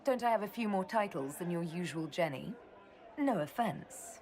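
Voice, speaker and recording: An actress voicing a composed young female mage leader in a video game, dry and measured. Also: fairly low pitch, animated, a little mellow, lightly acted.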